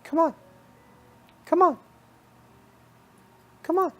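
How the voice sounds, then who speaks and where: A man's voice: very high-pitched, drawn-out words, voice pushed up, exaggerated voice. A man voicing a cute little bunny rabbit in a story, coaxing and urging in a repetitive way. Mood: eager coaxing.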